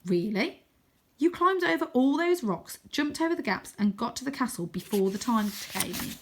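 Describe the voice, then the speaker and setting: A woman's voice: fairly high pitch, big pitch swings, normal speed, slight background noise, voice pushed up. Woman playing sceptical boy, storybook hero, wary, hesitant, doubting. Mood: doubtful.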